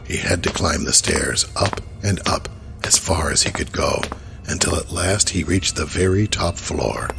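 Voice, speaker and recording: A man narrating a horror audio drama in a grave, measured storytelling manner. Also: a bass voice, creaky, loud music behind the voice.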